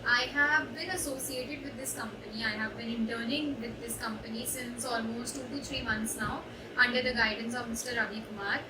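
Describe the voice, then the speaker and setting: A woman's voice: fairly high pitch, fairly even intonation, moderate pace, hardly any pauses, over a noisy crowd. A young woman with an Indian English accent, a student intern, giving a reflective personal testimonial with frequent hesitations. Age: young adult. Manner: reflective, hesitant with many uh fillers. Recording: echoey room, distant mic, background noise.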